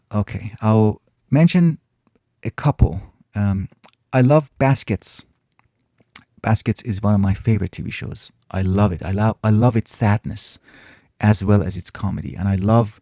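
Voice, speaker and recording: Host, male, Farsi native, low and gravelly, mellow, reflective and halting, dry close sound. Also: no echo. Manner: thoughtful, pausing often and restarting phrases. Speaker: channel host answering viewer questions. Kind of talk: casual reflective Q&A monologue.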